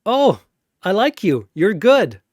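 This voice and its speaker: A man's voice: sits high, very animated, slow pace, darkish tone, no room sound, studio quality, pitched above the performer's own voice, heavily acted. A man voicing a plucky young female monster slayer in a battle story, wry, flippant and quippy. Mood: cocky.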